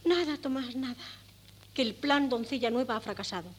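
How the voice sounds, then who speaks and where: A woman's voice: fairly high pitch, brisk, slight background noise, close to an everyday voice. An actress playing a melodramatic middle-aged mother and wife, an indignant, meddling matchmaker in a 1970s Spanish radio comedy. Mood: scheming. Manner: melodramatic, exclamatory. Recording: old cassette of 1970s radio play.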